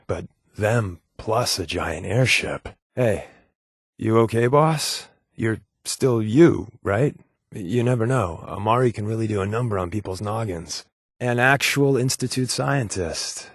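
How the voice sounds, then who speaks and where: Sly sarcastic spy sidekick for a video game, casual quips, pitch jumps around a lot, dry booth sound. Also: male voice, husky, dry recording, full character voice. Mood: easygoing, reassuring. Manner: sardonic, glib, casual quipping. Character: wisecracking, sly undercover rebel spy, adult man. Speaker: game voice actor. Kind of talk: video game companion dialogue.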